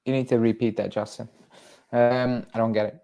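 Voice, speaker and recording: Brief: young man, Belgian-born, chatty livestream host, rambling and casual, mid-pitched. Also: little pitch movement, normal speed, vocal fry, mellow. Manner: rambling, lots of fillers and restarts, chatty. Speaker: football fan channel host. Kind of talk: casual livestream chat reading viewer comments.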